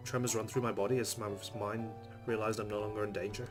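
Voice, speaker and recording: Male let's play host, lowish pitch, narrating visual novel text with casual asides over game music. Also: level tone, fast. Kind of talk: casual game commentary and reading story text aloud.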